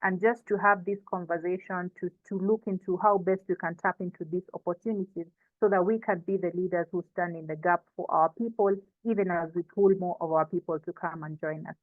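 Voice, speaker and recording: A woman's voice: fairly low pitch, steady tone, brisk, dry recording. A woman with a Kenyan accent, informally hosting and moderating an online session and introducing panelists. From coastal Kenya. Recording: thin phone-like online call audio.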